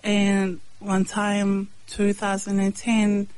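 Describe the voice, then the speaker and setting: A woman from Samoa, raised in New Zealand, sharing her faith testimony as an interview guest in plain, halting sentences with short answers. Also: mid-range voice, completely flat delivery, a little mellow, no room sound. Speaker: guest, hip-hop artist and evangelist. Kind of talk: earnest personal testimony.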